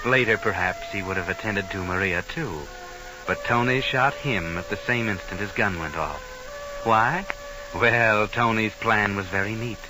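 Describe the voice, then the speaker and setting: Male actor as ominous radio storyteller, deep-leaning voice, sardonic, deliberate, 1940s mystery broadcast, prominent musical backing. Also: close to an everyday voice, poor audio. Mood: knowing, sinister. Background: dramatic music.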